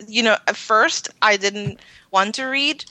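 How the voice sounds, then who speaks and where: A woman's voice: medium pitch, measured pace, bright, forceful tone, no echo, poor audio. A woman whose first language is Spanish, a guest on a podcast, chatting in a friendly, easygoing way. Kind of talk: casual interview chat.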